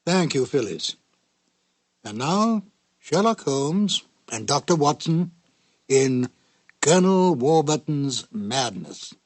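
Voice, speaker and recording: A man hosting a program, giving a scripted introduction in a deliberate, announcerly way. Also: on the high side, very animated, dry recording. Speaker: program host.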